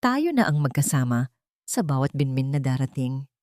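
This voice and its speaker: Soft emotional mom character in an audio drama, tender and grateful, narrator lady acting her, dry sound. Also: very deep voice, very animated, moderate pace, no echo, pitched below the performer's own voice. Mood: tender joy. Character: tender, grateful woman, former executive turned adoptive mother.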